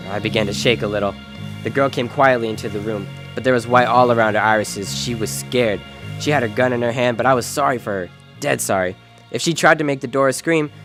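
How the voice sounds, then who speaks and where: A man acts out a hard-boiled private detective with a medium-pitched voice. He is terse, wry and wisecracking, telling his story and trading lines in radio noir style. Faint music sits behind him. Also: close to an everyday voice. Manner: wry, terse, tough-talking. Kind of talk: noir radio drama acting and first-person narration.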